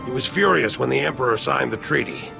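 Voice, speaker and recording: An actor playing a resolute military general in a video game, grave and commanding. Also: male voice, mid-range voice, animated, a little fast, creaky. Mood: urgent, grim. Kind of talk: video game character acting. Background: music.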